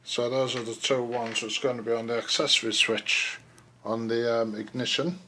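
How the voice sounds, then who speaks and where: A man casually narrating a hands-on project as he works, halting and thinking aloud, recorded indoors on a handheld camera. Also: medium pitch, steady tone, pushed and bright, slight background noise. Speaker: DIY video host. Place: room indoors. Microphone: handheld camera mic.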